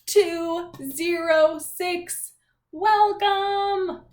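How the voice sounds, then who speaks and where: A woman's voice: very high-pitched, steady tone, very slow, noisy background. A woman elementary teacher leading a read-aloud math lesson for young children, speaking in an encouraging, singsong, questioning way. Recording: echoey room.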